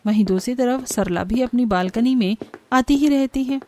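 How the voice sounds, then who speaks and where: A woman's voice: a little high, normal speed, darkish tone, a little hiss or hum. A woman reading scripted narration for a cartoon story.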